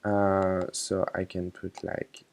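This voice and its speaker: A man casually narrating a software tutorial, talking informally and a little hesitantly as he instructs. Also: sits low, completely flat delivery, slow pace.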